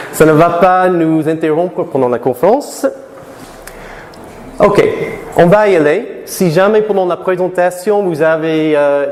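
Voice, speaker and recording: A man's voice: fairly high pitch, a little mellow. American man lecturing in an echoey hall. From United States. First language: English. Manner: asks the audience many questions, halting with restarts. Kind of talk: live conference talk to students. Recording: echoey hall, long reverb.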